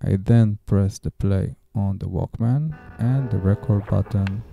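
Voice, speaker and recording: A man narrating a DIY tutorial in a plain, measured, step-by-step way, like a scripted instructional voice-over. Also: on the low side, vocal fry, over music.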